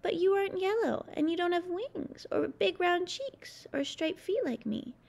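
A woman's voice: very high-pitched, lively intonation, unhurried, gravelly, a little mellow, voice pushed up, exaggerated voice. A woman voicing a small, lonely young male bird in a storybook, eager and plaintive, exclaiming and pleading. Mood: earnest.

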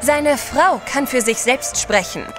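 A bold lady adventurer in a radio play. Her voice sits fairly high and she speaks with poise and firm self-assurance, over clearly audible music. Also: a little slow. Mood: bold, determined. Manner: poised, assertive. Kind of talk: German audio drama acting.

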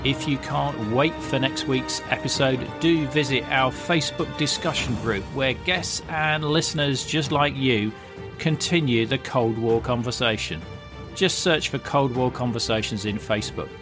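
Man, podcast host, scripted intro, measured delivery, music bed behind. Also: mid-range voice. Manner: clear, measured, promotional read.

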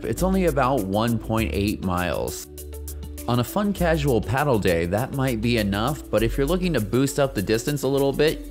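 Lively-voiced man narrating a kayak tour. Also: medium pitch. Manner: friendly, informative tour guide narration. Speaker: kayaking vlogger. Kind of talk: scripted travel voice-over. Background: soft background music.

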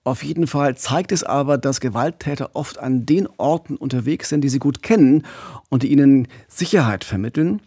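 A man's voice: mid-range voice, moderate pace, warm tone, slightly rough, no echo. A man in his forties telling a true-crime story conversationally as a podcast host, close on a studio microphone. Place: radio studio. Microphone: close studio microphone with pop filter.

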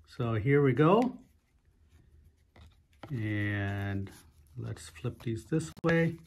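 A man's voice: medium pitch, expressive, very slow, a little hiss or hum. A man hosting his card-opening channel, narrating casually and unhurriedly with frequent fillers as he opens a pack at a table. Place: indoors at a table, hands only on camera.

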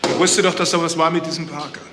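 An actor playing a dry, matter-of-fact police detective in a crime radio play. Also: male voice, a little high, level tone, fast, lightly acted. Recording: clear background noise.

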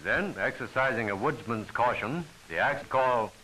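A man's voice: mid-range voice, animated, measured pace, sharp tone, a little hiss or hum, low-quality recording. A man giving formal, instructional scripted narration for a training film, on an old film soundtrack.

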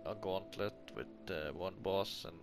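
Norwegian man with a gravelly voice. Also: sits low, unhurried. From Norway. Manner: modest, explains while playing, gets loud when frustrated. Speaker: gameplay commentator. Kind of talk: casual gameplay commentary. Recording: game music playing loudly behind.

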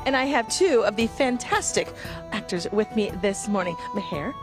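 A woman's voice: medium pitch, expressive, moderate pace, music in the background. A woman in her thirties reporting live for a morning show, bubbly and gushing, on a handheld microphone inside a theater. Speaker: TV field reporter. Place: indoor theater stage and backstage.

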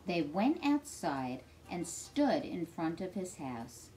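Middle-aged woman, children's read-aloud, expressive, deliberate, slow pace, lively intonation, faint music. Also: on the low side, low-quality recording. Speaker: teacher reading aloud to children.

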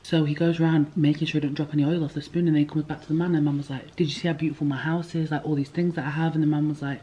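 A young woman chatting to a handheld camera in a room, rambling and lively as she talks. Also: sits low, very fast, slight background noise, low-quality recording. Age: young adult. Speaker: vlogger. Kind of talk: casual chat to camera. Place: indoor room with bookshelves at a retreat. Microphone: handheld camera at arm's length.

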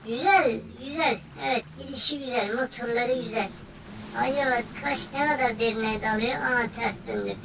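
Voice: mid-range voice, normal speed, vocal fry, far from the mic, background noise. A gaming host giving casual, playful commentary in slangy banter, often repeating phrases over and over. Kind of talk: casual gameplay commentary, playful role-play.

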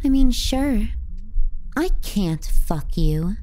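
A woman's voice: mid-range voice, animated, drawn-out words, dark tone. A woman playing a possessive, scornful younger sister in an intimate ASMR roleplay, murmuring breathily and languidly. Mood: needy, possessive. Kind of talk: intimate ASMR roleplay, slow and partly whispered.

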